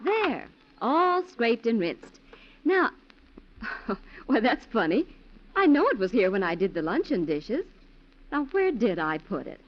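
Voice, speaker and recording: A woman's voice: on the high side, very animated, unhurried, long pauses. A woman playing an everyday housewife, chattily musing aloud in a 1940s radio commercial style. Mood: puzzled. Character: everyday housewife puzzling to herself in kitchen. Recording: muffled 1940s radio recording.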